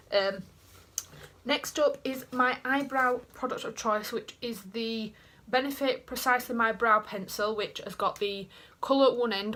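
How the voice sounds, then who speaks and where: A woman's voice: mid-range voice, bright, forceful tone, slight background noise. A young woman with a Yorkshire accent, chatting casually to camera in her bedroom, rambling with self-mocking asides. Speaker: beauty vlogger. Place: small bedroom indoors. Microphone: camera in front at desk height.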